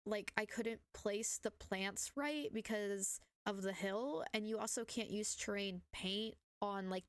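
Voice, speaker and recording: Young woman, hoarse and scratchy from illness, rambling voice-over host, dry close sound. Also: mid-range voice, no echo. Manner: rambling, many fillers and self-corrections. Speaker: gaming channel host. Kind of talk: casual voice-over commentary on gameplay.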